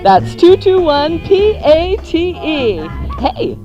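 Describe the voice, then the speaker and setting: A woman's voice: extremely high pitch, very animated, slow pace, dull audio. A woman hosting a live morning radio show with a theatrical, playful announcer's delivery. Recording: loud music behind the voice. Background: music.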